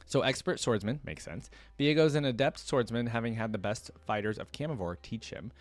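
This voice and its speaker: A man's voice: mid-range voice, brisk, no room sound. A man hosting a reaction video, casually reading lore aloud and dropping in his own comments, recorded close to the microphone. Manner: casual, interjects reactions between read passages.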